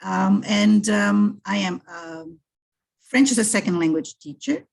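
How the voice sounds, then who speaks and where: A woman's voice: medium pitch, unhurried, vocal fry. A middle-aged woman from Brazil, a guest talking in a casual interview, thoughtful and full of restarts. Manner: thoughtful, with frequent restarts and fillers. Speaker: guest, French teacher and PhD candidate.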